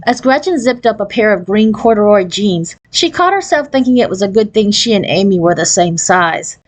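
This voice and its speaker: A woman narrating a children's novel as an expressive read-aloud. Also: medium pitch, moderate pace. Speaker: storybook reader.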